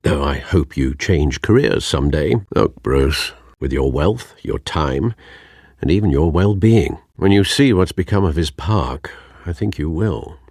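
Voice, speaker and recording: A man's voice: rumbling low, lively intonation, measured pace, a little mellow, dry recording, exaggerated voice. An actor playing an older British butler in a video game, speaking formally with dry, sardonic wit and fatherly care. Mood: fatherly worry. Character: dry-witted, caring elderly English butler to a vigilante.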